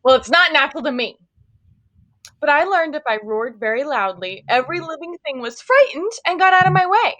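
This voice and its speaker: A woman's voice: sits high, big pitch swings, a little fast, a bit bright. A woman playing a cowardly, melancholy lion in a live table read, plaintive and self-pitying. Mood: sheepish. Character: cowardly, melancholy lion lamenting his lack of courage. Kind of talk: live table read of a children's fantasy story.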